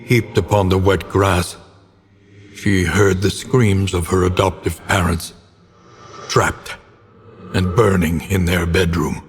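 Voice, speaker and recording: A very low-voiced, grave old narrator of dark gothic tales for a horror game. He delivers each phrase theatrically and with great deliberation. The voice rings with long reverb over audible background noise. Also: little pitch movement, slow, pauses often. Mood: grave, ominous. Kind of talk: dramatic scripted storytelling narration.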